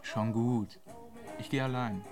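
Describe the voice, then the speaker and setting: A man's voice: mid-range voice, fairly even intonation, slow pace, over music, lightly acted. Sullen laconic young man played by an actor. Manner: laconic, curt. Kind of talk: radio drama acting.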